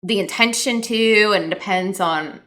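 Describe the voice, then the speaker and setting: A woman's voice: medium pitch, unhurried, crowd noise. A woman from Miami, speaking as a guest in a casual panel conversation. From Miami, United States.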